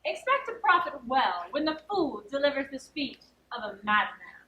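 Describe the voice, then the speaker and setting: A woman's voice: high-pitched, very animated, moderate pace, bright, forceful tone, slight background noise, heavily acted. A woman playing a cheeky, mock-solemn jester serving a noblewoman in a theatrical stage comedy. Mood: playful, wry. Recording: roomy, echoing stage sound.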